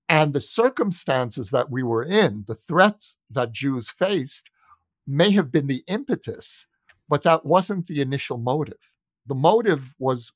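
A middle-aged American man speaking reflectively as an interview guest, making his case deliberately and emphatically, repeating words and pausing for weight. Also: a little high, no echo. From United States. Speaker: interview guest, journalist and author. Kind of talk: reflective conversational argument in an interview.

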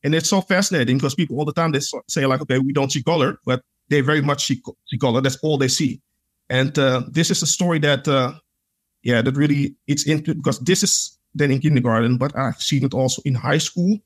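Husky-voiced man, earnest. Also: mid-range voice, brisk. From Netherlands. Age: adult. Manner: earnest, reflective, leans on "like" fillers. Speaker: podcast guest. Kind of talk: conversational interview storytelling.

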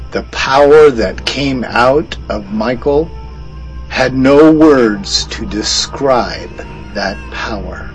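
A man narrating a storybook adventure over music, in a slow, dramatic storytelling cadence. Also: medium pitch.

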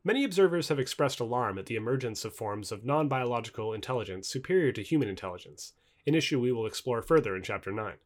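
A man's voice: mid-range voice, expressive, quick pace. A man reading a nonfiction book aloud in articulate, expository narration, stressing key terms. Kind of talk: scripted nonfiction audiobook narration.

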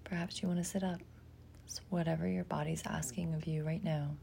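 A woman leading a guided imagery meditation in a soft, breathy, gentle voice, leaving long pauses between short, soothing phrases. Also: low-pitched, flat delivery, slight background noise.

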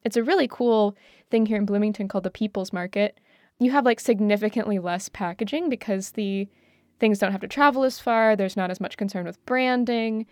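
Clear-voiced woman chatting, close and dry. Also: mid-range voice, brisk, dry recording. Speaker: talk show panelist. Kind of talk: casual conversational explanation.

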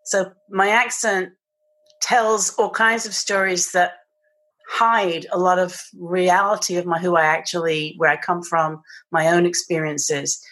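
A woman's voice: fairly low pitch, fairly even intonation, measured pace, a bit bright. A woman with a British accent, a guest in a podcast interview, talking reflectively and searching for her words. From England. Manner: thoughtful, searching, restarts mid-phrase.

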